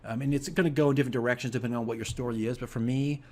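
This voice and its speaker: A man's voice: mid-range voice, steady tone, racing, a little mellow. A man hosting a casual video diary as a game master, explaining things conversationally and emphatically, often checking in with the listener.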